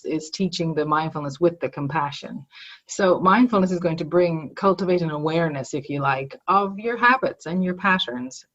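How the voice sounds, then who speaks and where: A woman's voice: low-pitched, a bit bright. Woman a dull, lo-fi sound. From Ireland. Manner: reflective, explanatory, with restarts. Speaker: guest, psychologist and mindfulness teacher. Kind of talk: conversational podcast interview.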